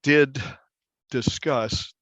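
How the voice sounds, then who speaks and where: A man, a newspaper reporter, explaining things in an interview with deliberate, halting phrasing. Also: medium pitch, steady tone, a bit bright, no echo. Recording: dull, slightly muffled lo-fi sound.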